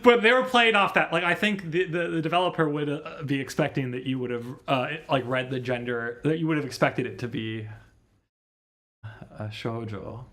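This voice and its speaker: A man's voice: a little high, normal speed, a bit bright. A man giving casual live game commentary, rambling and thinking aloud. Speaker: streamer. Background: game music.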